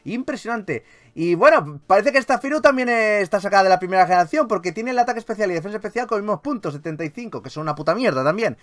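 Animated, higher-voiced Spanish man, gaming commentator. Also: fast, hardly any pauses. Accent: Spanish from Spain. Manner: enthusiastic, playfully grandiose, profane. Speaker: gaming YouTuber host. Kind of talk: casual game commentary.

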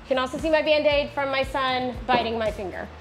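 A woman in her thirties hosting a casual woodworking tutorial in her home garage, chatty and quick to correct herself. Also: high-pitched, soft background music, poor audio. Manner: chatty, self-correcting, laughs often. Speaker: DIY woodworking host.

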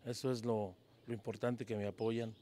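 A man's voice: fairly low pitch, flat delivery, normal speed, mellow. A Mexican man in his fifties, a local singer answering questions in a casual street interview in a town plaza, humble and hesitant, often repeating words, speaking into a handheld mic. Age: 50s. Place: town plaza outdoors at dawn. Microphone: handheld mic held by interviewer.

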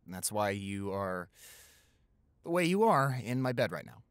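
A man's voice: fairly low pitch, big pitch swings, normal speed, smooth, dry recording, clean studio sound. A young American man playing a playful, caring college-age boyfriend in improvised roleplay audio, teasing and reassuring with hesitant stammers. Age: young adult.

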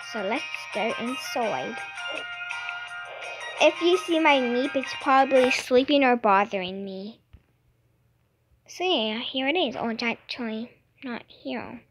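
A woman's voice: on the high side, lively intonation, measured pace, long pauses, music in the background. A woman hosting a casual gaming video, chatting as she plays. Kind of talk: casual gameplay chat. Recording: noisy home recording with clicks.

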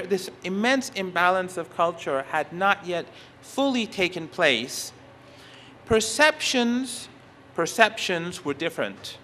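A man whose first language is Persian speaks with a bright, forceful tone set a little higher than usual. He delivers a lecture at a conference, stopping often to restart phrases and leaning hard on key words. Faint noise from the hall sits behind him. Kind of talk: academic conference talk.

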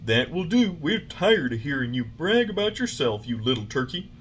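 Man acting a jeering schoolboy bully, animated. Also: a little high, normal speed, slight background noise, voice pushed up. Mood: threatening.

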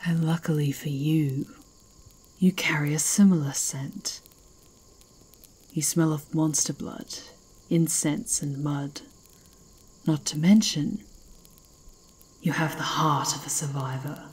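A woman playing a fierce, mocking werewolf chieftain in an ASMR roleplay, speaking in a slow, taunting, imperious way. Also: sits low, steady tone, darkish tone, husky, heavily acted, poor audio. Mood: appraising.

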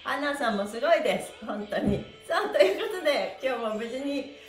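Woman, middle-aged, exercise instructor. High, upbeat, encouraging delivery, recorded with room echo. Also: a little fast, over music. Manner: cheerful, animated, encouraging, often laughing. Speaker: stretch class instructor and host. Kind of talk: live online exercise lesson, counting and chatting to participants. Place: small room indoors, on a mat on the floor. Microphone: camera a few steps away. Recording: roomy echo.

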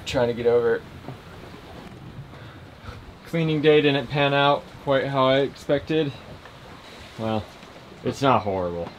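A man in his thirties, sailing alone and talking casually to a handheld camera in a small boat cabin, relaxed and self-mocking. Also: mid-range voice, slow, long pauses, dull audio, a little hiss or hum. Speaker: vlogger sailing solo. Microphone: handheld camera at arm's length.

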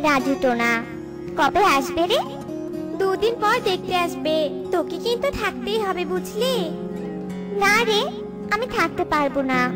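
A woman voicing a chatty, gossipy young female bird in a cartoon, chummy and lively. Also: extremely high pitch, exaggerated voice. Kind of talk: cartoon voice acting. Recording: loud children's music behind.

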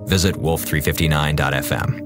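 A man's voice: very deep voice, steady tone, a little fast. A man reading scripted credits as the announcer of a radio drama. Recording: music behind voice.

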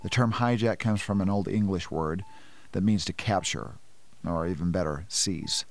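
Male actor, scientist, lowish voice, methodical, explanatory, radio play. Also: normal speed, vocal fry. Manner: explanatory, precise.

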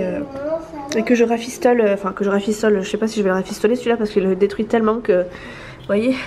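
Casting: woman in her 30s, congested cold voice, higher pitch, rambling home-vlog chatter to a handheld camera, faint background noise. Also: a little mellow. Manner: chatty, rambling, many restarts and fillers. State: stuffy nose from a cold. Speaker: family vlogger. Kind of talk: casual vlog chat to camera. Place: apartment, indoors. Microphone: handheld camera at arm's length.

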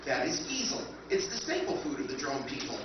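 A man playing a polite but relentless robot ship that tends its passengers, in a formal, measured way, in a live stage recreation of an old-time radio drama. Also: fairly high pitch, noisy background. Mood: calm, formal. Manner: formal, measured, calmly menacing. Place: hotel function room stage with audience. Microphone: stand mic, far camera. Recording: echoey hall from far off, dull.

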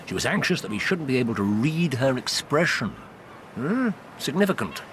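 An actor playing a shrewd, sceptical detective in a British radio drama, with an English accent and an incisive, musing manner. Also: male voice, medium pitch, very animated, normal speed, fairly bright tone, a little hiss or hum. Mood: incisive, probing. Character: brisk, shrewd, sceptical male detective, coolly analytical.

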